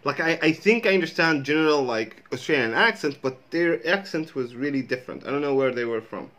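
A man's voice: on the high side, lively intonation, brisk, a bit bright. A man in his thirties, a native Arabic speaker with an Arabic accent, chatting loosely in a reaction video, rambling and swearing casually, recorded in a small room on earphones. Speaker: reaction vlogger. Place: small indoor room with a sheet hung behind. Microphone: wired earphone mic.

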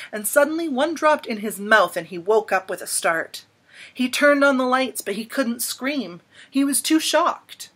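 A woman in her thirties reads a picture book aloud at home. Her voice sits a little high, and she tells it expressively, with dramatic emphasis and pauses. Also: pushed and bright, slightly rough. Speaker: storybook reader. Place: small indoor room at home.

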